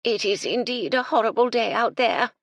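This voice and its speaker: A narrator voicing an eccentric elderly widow who talks in a high, chirping, airy way. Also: female voice, normal speed, no echo, lightly acted. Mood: chirpy. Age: older.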